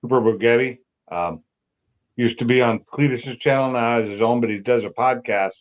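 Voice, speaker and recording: A man's voice: mid-range voice, moderate pace, lo-fi sound. Easygoing man chatting on a stream. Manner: easygoing, loose, trails off with fillers. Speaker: livestream guest. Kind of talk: casual car chat.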